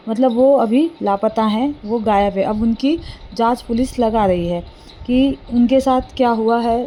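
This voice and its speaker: A woman recounting a news case informally and unscripted, halting and correcting herself as she explains. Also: on the high side, mellow, slight background noise, poor audio.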